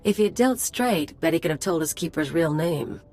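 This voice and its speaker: A woman's voice: low voice, lively intonation, normal speed, a little mellow. A woman playing a cocky, bloodthirsty mercenary sidekick in a video game, speaking casually and flippantly. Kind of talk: video game cutscene acting.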